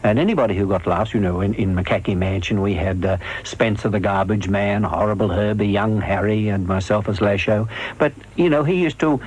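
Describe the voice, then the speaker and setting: A man's voice: lowish voice, a little fast, hardly any pauses, darkish tone, husky, poor audio. An older man reminiscing conversationally in an interview about working as a comic performer. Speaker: comedian, interviewee.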